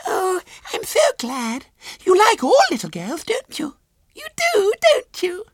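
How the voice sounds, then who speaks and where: A man's voice: very high voice, wildly swooping pitch, unhurried, fairly bright tone, dry recording, studio quality, pitched above the performer's own voice, heavily acted. A man voicing a prim, spoiled six-year-old girl in a storybook, pleading and insistent.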